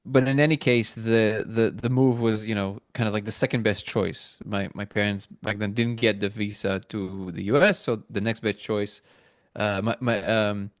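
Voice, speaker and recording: A middle-aged man from Russia who later moved to Israel, answering reflectively as an interview guest, haltingly restarting phrases as he thinks aloud. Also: on the low side, steady tone, no echo, clean studio sound. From born in Moscow, Russia, moved to Israel.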